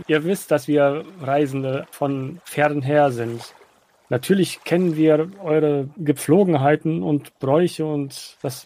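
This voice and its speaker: A man with a fairly high voice plays a tabletop roleplaying game. He improvises lines in character, choosing formal, wordy phrases and putting them together deliberately. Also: darkish tone.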